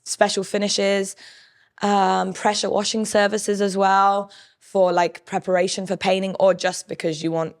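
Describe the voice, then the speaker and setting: A woman in her thirties with a croaky, husky voice, telling her story candidly as a podcast guest. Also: mid-range voice, flat delivery, a little slow. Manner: candid, storytelling, lists things off. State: hoarse, croaky. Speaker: podcast guest, business co-owner. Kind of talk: casual interview chat.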